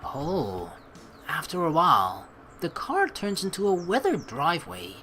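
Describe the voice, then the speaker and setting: A woman with a slightly low, lively voice hosts a game playthrough. She moves between reading the story aloud and casual, rambling asides, with swooping intonation. Music plays softly behind her. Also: measured pace. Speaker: let's play host.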